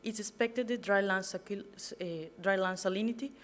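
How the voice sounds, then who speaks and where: A woman's voice: mid-range voice, a little fast. A young woman from Colombia with a Colombian Spanish accent, giving a prepared talk to an audience as a master's student, earnest and a little nervous, stumbling on some words. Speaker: guest speaker, master's student. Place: event venue.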